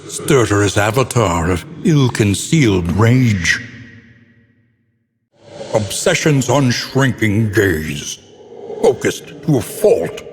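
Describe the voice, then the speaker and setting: A grave, foreboding narrator for a gothic horror game. His low voice moves very slowly, with theatrical, ornate weight on each phrase. It rings out in a long reverb. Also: a little hiss or hum.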